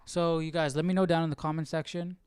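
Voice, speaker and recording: A man hosting a live Bible study, chatting casually and thinking out loud. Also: a little high, little pitch movement, quick pace, smooth, no room sound, clean studio sound. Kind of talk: casual livestream chat with reading and commentary.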